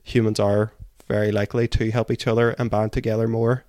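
Male co-host for book discussion: lowish, flat intonation, analytical, very dry close sound. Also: brisk, dry recording.

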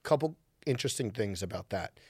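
A man hosting a podcast in casual chat, his voice a little raspy and hoarse, telling stories with energy. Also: fairly low pitch, expressive, a little fast, darkish tone, no echo, clean studio sound. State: slightly hoarse. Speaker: podcast host. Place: studio.

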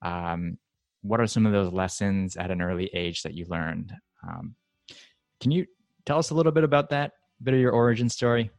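A man hosting a podcast, reading a measured intro and then asking questions with occasional ums. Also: lowish voice, a little mellow, soft background music. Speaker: podcast host.

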